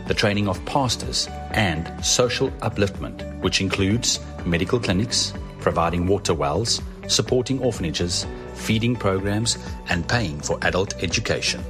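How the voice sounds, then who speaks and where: Deep male voice, formal scripted narration, measured delivery, music bed. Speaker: video narrator. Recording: voice-over with background music.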